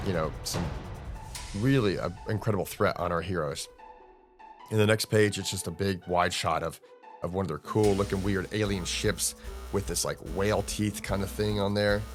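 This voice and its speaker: A man doing casual, excited play-by-play narration of comic panels, informal and dramatic, as a read-along host. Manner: informal, dramatic, with interjected booms. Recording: music under the voice. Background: music.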